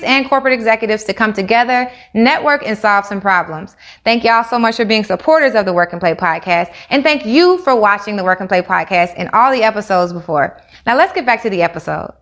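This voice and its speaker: A woman hosting a podcast, chatty and bubbly, laughing often. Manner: bubbly, laughs often, rising excited pitch. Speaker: podcast host. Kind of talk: casual interview chat. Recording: echoey room.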